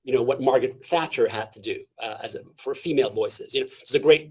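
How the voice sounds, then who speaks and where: A man from Canada, a guest speaker in an interview, talking with energy in a fairly high voice, full of restarts and fillers. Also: breathy edge. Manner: animated, halting with many restarts and fillers. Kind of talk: casual interview talk.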